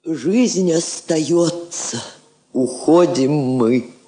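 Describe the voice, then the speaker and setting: A woman's voice: low voice, very slow, dark tone. An actress playing a poised, shrewd middle-aged Soviet woman ambassador, tender but resolute, in theatrical radio drama style. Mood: sad, resolute. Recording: roomy 1960s radio play recording.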